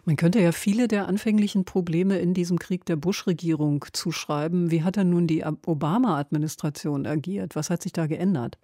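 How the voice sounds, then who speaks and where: A woman's voice: lowish voice, steady tone, fairly quick, hardly any pauses, mellow, no room sound. A woman, presenting and asking questions in a broadcast interview in a radio studio. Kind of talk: broadcast book-review interview, scripted introduction and questions.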